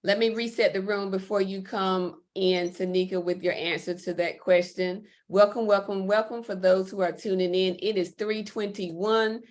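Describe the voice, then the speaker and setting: A woman's voice: medium pitch, steady tone, normal speed, few pauses, darkish tone. A woman hosting a livestream interview in an upbeat, encouraging way. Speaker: host.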